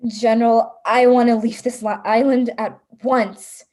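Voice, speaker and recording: A woman's voice: a little high, steady tone, measured pace, darkish tone, close to an everyday voice. A woman playing a frightened but resolute hunted heroine, narrating a radio play in a dramatic, suspenseful way. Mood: uneasy defiance.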